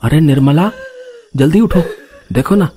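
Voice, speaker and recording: A man's voice: fairly high pitch, expressive, unhurried, mellow. Man playing a swooping, startled village husband. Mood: startled, urgent. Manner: exclaiming, emphatic. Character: village husband, startled yet bold, reassuring, dismissive. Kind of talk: Hindi animated horror story dialogue.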